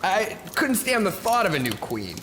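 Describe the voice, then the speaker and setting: High-pitched, animated, haunted ex-soldier played by a man. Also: moderate pace. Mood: guarded. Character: jaded, haunted male ex-soldier exile, roughly sardonic. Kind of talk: sci-fi audio drama acting. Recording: long reverb, loud music behind. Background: loud music.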